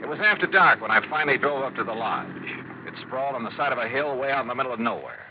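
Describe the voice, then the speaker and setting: A man's voice: mid-range voice, animated, fast talker, background noise, close to an everyday voice. Man playing a hard-boiled radio detective, tinny vintage sound. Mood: brooding narration. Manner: clipped, hard-boiled, slangy delivery. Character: tough, skeptical male freelance insurance investigator, world-weary. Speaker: lead actor and narrator of a radio detective series. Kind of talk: old-time radio detective drama acting. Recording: tinny, band-limited vintage radio, music behind.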